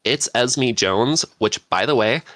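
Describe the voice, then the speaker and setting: A man chatting casually as a podcast panelist, excitable and self-deprecating in manner. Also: mid-range voice, slow pace.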